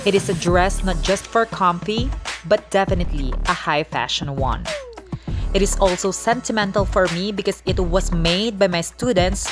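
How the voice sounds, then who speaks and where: A woman's voice: medium pitch, moderate pace. A woman, a model contestant, earnestly describing her outfit in a voice-over, listing its materials. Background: background music.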